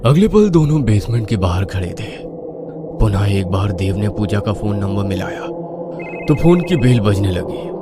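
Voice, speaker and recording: Male storyteller, suspenseful and theatrical, highly animated pitch swings, prominent music bed. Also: moderate pace. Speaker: narrator. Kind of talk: dramatic scripted horror story narration in Hindi.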